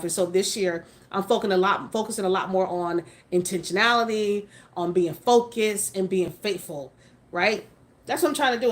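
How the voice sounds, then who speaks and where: A woman in her late forties chats live to her viewers, frank and emphatic, now and then swearing for effect. She keeps it casual and conversational, picking up comments as they come in. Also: medium pitch. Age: 40s. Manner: chatty, reads out and answers viewer comments; frank, mildly profane, emphatic. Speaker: livestream host. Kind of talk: casual livestream chat.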